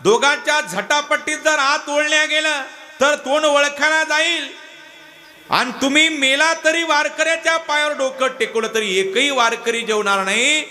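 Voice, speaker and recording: Preacher acting a high-pitched, pleading saintly father. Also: moderate pace, bright, forceful tone, full character voice. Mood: anguished, pleading. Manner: earnest, pleading, emotional. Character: saintly devout father, grieving yet proud of his son.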